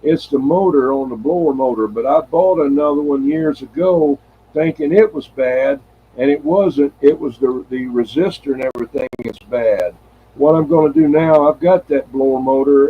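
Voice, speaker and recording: Male CB radio host, husky and folksy, loose rambling delivery, muffled lo-fi radio audio. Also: a little high, normal speed. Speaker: host of a CB radio live stream. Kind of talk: casual CB radio chat. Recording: dull, lo-fi CB radio sound.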